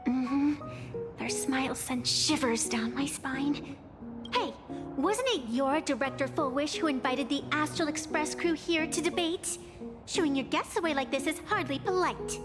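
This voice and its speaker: A woman's voice: high voice, a little slow, music in the background. An actress playing a bold, teasing young woman in a video game. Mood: wary. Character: spirited young woman, cheeky and outspoken. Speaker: game character. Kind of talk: game voice acting.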